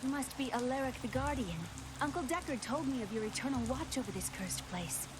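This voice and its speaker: A woman's voice: high voice, lively intonation, brisk, background noise. An actress playing an earnest, thoughtful young female scholar in a video game. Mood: solemn.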